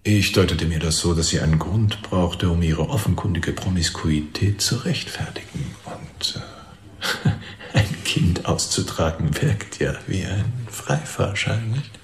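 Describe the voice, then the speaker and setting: Creaky, low-voiced evasive informant. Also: male voice. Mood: confiding, halting. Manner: halting, theatrical delivery, dropping to whispers. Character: evasive, self-serving male informant under police questioning. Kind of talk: radio drama dialogue, confessional account. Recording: roomy, echoing studio sound.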